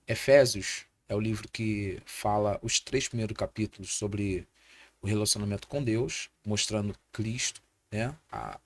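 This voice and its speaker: A man in his thirties with a Brazilian Portuguese accent, a pastor speaking as a podcast guest in a didactic, sermon-like way, repeating key points for emphasis. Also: lowish voice. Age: 30s. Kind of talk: podcast interview with sermon-like teaching.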